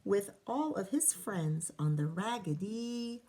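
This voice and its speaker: A woman teacher reading a picture book aloud to children, pausing to ask questions and count along. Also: mid-range voice, animated, warm tone. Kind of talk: children's read-aloud with commentary.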